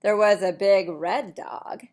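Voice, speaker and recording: A middle-aged woman reading a picture book to young children in a deliberate, sing-song way, with simple asides, recorded in a room at home. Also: mid-range voice, fairly even intonation, darkish tone. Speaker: preschool teacher reading aloud. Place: small indoor room at home.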